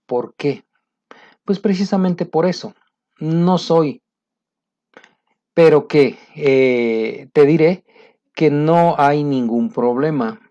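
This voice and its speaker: Middle-aged Latin American man from a teaching video, conversational, plenty of filler pauses, mellow tone, muffled lo-fi sound. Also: a little high. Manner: conversational, pausing often with fillers. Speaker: English teacher. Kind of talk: motivational video lesson. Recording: muffled lo-fi recording.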